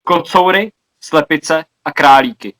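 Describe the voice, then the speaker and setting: Man from Czechia, slow unhurried speech, fairly high voice, patient, repeats words carefully, casual chat. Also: fairly bright tone. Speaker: language exchange participant. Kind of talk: casual video call chat.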